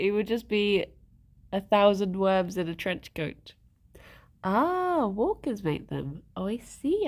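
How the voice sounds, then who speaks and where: A woman's voice: mid-range voice, a little mellow. Stuffy-nosed woman chatting to chat. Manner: chatty, giggly, greets viewers by name. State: stuffed-up nose. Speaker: streamer. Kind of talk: casual chat with live chat.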